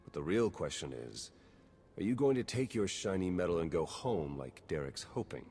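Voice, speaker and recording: A man's voice: low voice, lively intonation, moderate pace, gravelly, a little mellow, husky, faint music behind, exaggerated voice. An actor playing a wry, cynical male spy in a video game, dry and understated. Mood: conspiratorial. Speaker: intelligence agent character. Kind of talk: video game cutscene acting. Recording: long hall reverb, noisy ambience behind.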